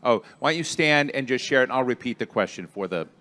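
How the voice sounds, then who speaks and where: A man's voice: medium pitch, expressive, quick pace, sharp tone. A man with an ordinary mid-range voice moderates a panel before an audience. He talks in a loose, rambling, conversational way. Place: stage before a live audience.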